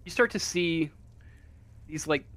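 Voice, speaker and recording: A man running a tabletop game as game master, narrating off the cuff in a halting, casual way as he searches for words. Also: on the high side, fairly bright tone.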